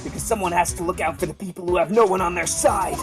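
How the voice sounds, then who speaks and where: A woman's voice: on the low side, fast talker, music in the background, lightly acted. A woman voicing an earnest, idealistic defense lawyer with dramatic resolve in a live visual novel read-through. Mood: determined. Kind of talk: live group voice-over of a visual novel game.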